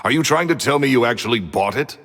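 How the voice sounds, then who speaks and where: An actor playing a short-tempered retired magician who talks in curt, blunt commands. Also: male voice, mid-range voice, lively intonation, fast talker, a bit bright, slight background noise, natural voice. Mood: dismissive. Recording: reverb with game music.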